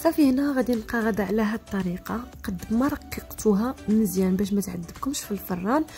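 Brief: young Moroccan-accented woman, cooking-channel host, quick and chatty, music faintly behind. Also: mid-range voice, expressive, warm tone. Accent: Moroccan Arabic. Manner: chatty, practical, talks straight to viewers. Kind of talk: voice-over cooking tutorial.

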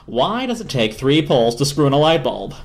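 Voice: mid-range voice, very animated, brisk, close to an everyday voice. A narrator voicing an eager, chattering, jokey radio in a storybook.

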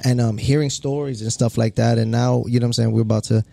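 A man's voice: medium pitch, fairly even intonation, fast talker, gravelly, dark tone. A man in his late thirties co-hosting in a slangy casual chat, mixing Spanish into his English. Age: late 30s. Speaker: podcast co-host.

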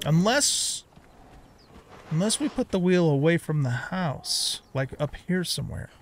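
A man's voice: a little high, lively intonation, a little slow, a little hiss or hum. A middle-aged man giving casual gameplay commentary, rambling and thinking aloud as he hosts a let's play.

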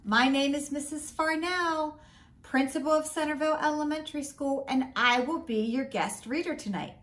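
A middle-aged woman reading a picture book aloud to children in an expressive, deliberate way. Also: high voice. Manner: expressive, slow and deliberate for children, with sing-song refrains. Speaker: school principal, guest story reader. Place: small home room, indoors.

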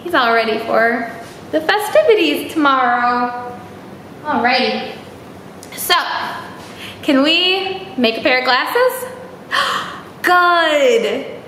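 A woman's voice: high voice, sing-song, slow, long pauses, sharp tone. A young woman hosting a children's storytime, chatting and reading aloud in an exaggerated, encouraging way with questions to the listener. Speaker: library storytime host. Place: small indoor room. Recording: echoey room with faint background noise.

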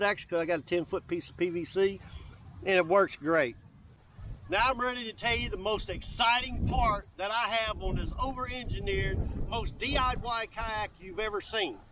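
A man's voice: high-pitched, a bit bright, slight background noise, low-quality recording. A middle-aged man giving an enthusiastic, folksy, casual walk-through as a video host, speaking outdoors. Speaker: YouTube host demonstrating DIY kayak builds. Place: outdoors on open grass by water.

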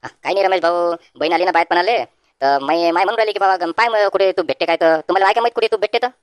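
A man's voice: high voice, rapid-fire, clean tone, no room sound, lightly acted. A man voicing a folksy village grocer in a comic cartoon, with a rural Varhadi Marathi accent and a plain-spoken way of talking. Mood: friendly, preoccupied. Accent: rural Maharashtra, Varhadi. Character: male village grocer, exasperated, distrustful creditor.

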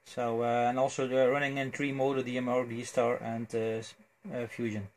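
A man with a Belgian accent chats casually as an amateur radio operator, phrasing things haltingly. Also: medium pitch, flat delivery. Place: indoors at a cluttered electronics desk. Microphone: cell phone near the desk.

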